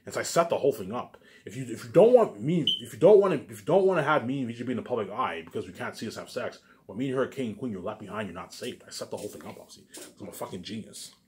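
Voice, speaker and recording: A man's voice: mid-range voice, expressive, racing, slight background noise. A man in a casual, rambling monologue, boastful and repetitive, with emphatic bursts that trail off into muttered tags. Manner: repetitive, keeps restating phrases and tagging sentences with catchphrases; boastful, self-aggrandizing.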